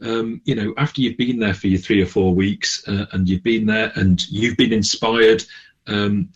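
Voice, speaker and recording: Husky, low-voiced man. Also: steady tone, moderate pace, muffled recording. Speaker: interview guest, charity manager. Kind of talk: conversational radio interview.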